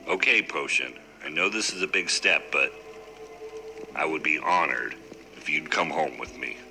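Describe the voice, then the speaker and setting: Brief: a goofy sneaky thief, deep gravelly voice, bouncy delivery, clear music under. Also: male voice, a little slow, a little hiss or hum, full character voice. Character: young adult male sneaky thief, cheerful. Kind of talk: game voice acting. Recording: game audio under loud music.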